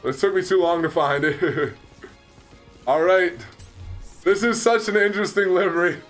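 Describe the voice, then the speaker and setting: A man's voice: sits high, measured pace, fairly bright tone, faint music behind. A young man in his twenties hosting a casual gaming livestream, chatting loosely and answering his viewers, with frequent laughs, on a headset mic in a small room. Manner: chatty, reads and answers chat, laughs often. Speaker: livestream host.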